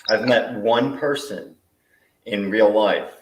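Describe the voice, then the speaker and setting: A male host chatting casually in a relaxed, thoughtful way, with plenty of fillers. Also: on the low side, slow pace, recorded from a distance. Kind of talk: casual video call chat. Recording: echoey room, away from mic.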